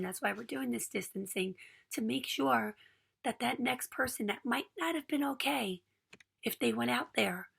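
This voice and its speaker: A hoarse woman in her forties sharing a heartfelt personal message in a raspy voice, earnest and pausing with frequent ums. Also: on the high side, a bit bright. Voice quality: gravelly, raspy voice. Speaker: small business owner.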